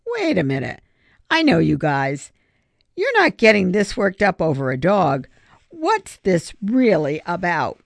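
A woman's voice: lowish voice, huge pitch swings, unhurried, creaky, no room sound, clean studio sound, voice pushed up, heavily acted. A woman voicing an indignant schoolgirl animal lover who scolds emphatically in an audiobook story. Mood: suspicious.

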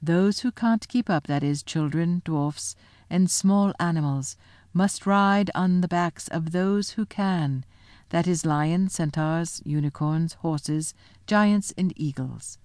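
Woman doing a commanding male lion, big booming calls, steady low orders, dry close sound. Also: takes it slowly, no room sound.

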